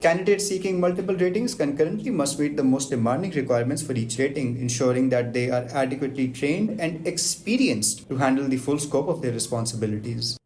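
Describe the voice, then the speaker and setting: A man delivers a scripted aviation lesson against the clock. He moves fast and reads technical terms in steady, list-like runs. A faint background hiss sits under his voice. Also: medium pitch. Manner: brisk, even, list-like reading of technical terms. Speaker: aviation explainer host. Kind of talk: scripted educational narration, just-a-minute format.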